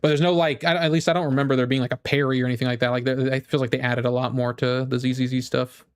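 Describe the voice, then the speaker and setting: A man's voice: mid-range voice, little pitch movement, fast, clear, resonant tone, no echo. A man chatting casually as a gaming streamer, rambling enthusiastically and thinking aloud with false starts. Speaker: streamer reacting and chatting with viewers.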